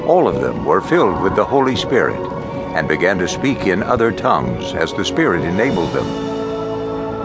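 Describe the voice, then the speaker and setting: A man reading scripted Bible narration for a dramatized audiobook. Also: sits low. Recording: loud music under the voice. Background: loud dramatic music.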